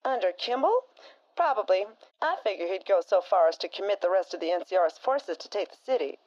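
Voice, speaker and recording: A woman's voice: medium pitch, expressive, a little fast, a little mellow, lo-fi sound, lightly acted. A woman playing a blunt, folksy frontier woman who talks with a drawl and slang as a radio co-host in a fan audio drama.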